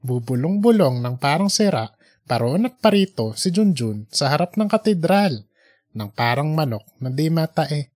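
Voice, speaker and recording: A storyteller narrates fiction in a casual, playful audiobook style. The voice sits at a medium pitch and carries light humor. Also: sing-song, moderate pace, darkish tone.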